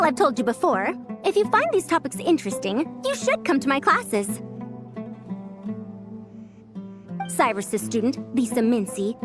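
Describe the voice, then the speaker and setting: A woman playing a bright young mechanics teacher in a video game, friendly and articulate as she explains things. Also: high voice. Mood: encouraging. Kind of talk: video game character dialogue.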